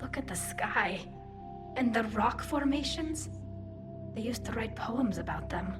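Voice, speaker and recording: A woman playing a gentle, wistful young alien exile in a video game, speaking softly and reflectively. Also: fairly high pitch, expressive, unhurried. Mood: wistful, awed. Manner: soft, wondering, reflective. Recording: clear game music underneath.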